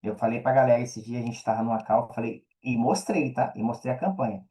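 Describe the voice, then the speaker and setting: A man's voice: medium pitch, a little fast, dark tone. A man with a Brazilian accent in a casual podcast chat, talking in a slangy, conversational way and repeating words for emphasis.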